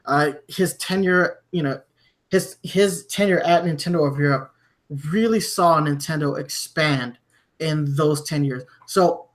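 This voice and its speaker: A man from Germany hosting a podcast, discussing news conversationally with halting, repeated word starts. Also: on the high side. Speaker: podcast host.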